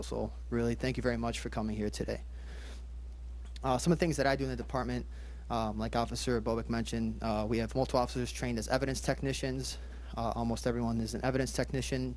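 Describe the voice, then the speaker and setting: A young police officer whose first language is Polish talks at a quick clip at a community meeting. He speaks in an earnest, plain manner, with fillers between his points. A faint hiss of room noise sits under his voice. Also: medium pitch, a little mellow.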